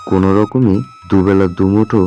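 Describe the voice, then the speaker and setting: A man's voice: low voice, steady tone, normal speed, dark tone, lo-fi sound, faint music behind, close to an everyday voice. A man playing a poor, weary village woodcutter in a cartoon, speaking earnestly and plaintively. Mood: weary, worried. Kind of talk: cartoon voice acting.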